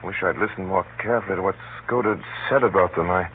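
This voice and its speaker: An actor playing a hunted man who recounts his flight gravely and tensely, with dramatic measured pauses, in a 1930s radio drama. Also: low voice, natural voice. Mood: dread, brooding. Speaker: narrator and lead actor. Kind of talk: 1930s radio drama first-person narration. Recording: scratchy, muffled 1930s radio broadcast.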